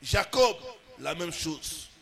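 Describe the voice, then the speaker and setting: A man preaching as a pastor, with emphatic bursts and drawn-out pauses. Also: a little high, animated, creaky, a bit bright. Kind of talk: sermon.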